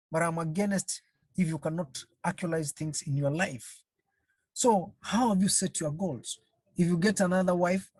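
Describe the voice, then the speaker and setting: A counselling trainer gives an online webinar talk over a video call. The speaker talks slowly and haltingly, repeats phrases and keeps asking the audience rhetorical questions. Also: medium pitch, darkish tone, breathy edge, dry recording, poor audio.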